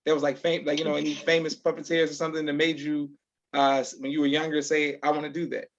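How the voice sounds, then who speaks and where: A man hosting a live online interview in a polite, halting manner, repeating words. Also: on the high side, monotone. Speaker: podcast host, interviewer. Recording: dull lo-fi online call sound.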